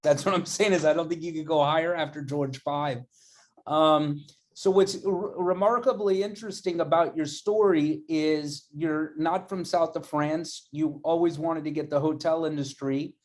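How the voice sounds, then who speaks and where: A man's voice: on the high side, steady tone, moderate pace. A man hosting an online interview series, upbeat and effusive. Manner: enthusiastic, effusive. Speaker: interview host. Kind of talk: upbeat video-call interview.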